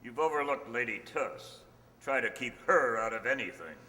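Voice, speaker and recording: A man playing a sardonic, prickly, self-assured country-house gentleman in an old-time radio mystery style. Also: mid-range voice, animated, moderate pace. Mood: mocking. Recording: noisy, echoey stage hall.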